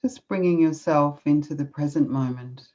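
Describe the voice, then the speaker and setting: Woman, Australian accent, low voice, mellow tone, slow gentle meditation guide, dull online call audio. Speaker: guest speaker.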